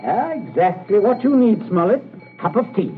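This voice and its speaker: A smooth, scheming father in a vintage radio thriller. His highish voice swoops theatrically between courteous charm and command, through a thin, scratchy recording. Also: big pitch swings, moderate pace. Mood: falsely genial. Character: domineering, suavely genial, scheming English father. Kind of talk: 1940s radio suspense acting. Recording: scratchy, narrow-band 1940s radio recording.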